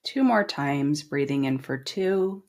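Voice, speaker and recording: A middle-aged woman leading a guided meditation in a calm, gentle, instructing manner with long pauses. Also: deep voice. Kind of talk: guided body scan meditation.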